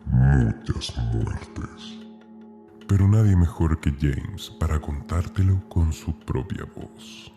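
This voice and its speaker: An actor playing a sinister collector who hosts a horror audio drama, savoring suffering in an ominous, formal, theatrical manner. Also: male voice, extremely low pitch, expressive, slow pace, over music, full character voice. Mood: ominous relish. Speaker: narrator host.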